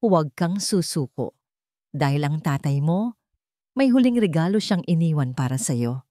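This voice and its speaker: A woman's voice: sits low, animated, moderate pace, mellow, dry recording, studio quality. A woman voicing a determined, emotional female lawyer encouraging a client in a drama story. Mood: earnest encouragement.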